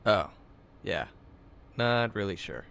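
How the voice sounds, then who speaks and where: A man's voice: on the low side, sing-song, takes it slowly, gravelly, fairly bright tone, full character voice. A man voicing a nonchalant, groggy young rich guy in a game, drowsy and slangy in the way he talks. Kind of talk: visual novel game voice acting. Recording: music playing behind.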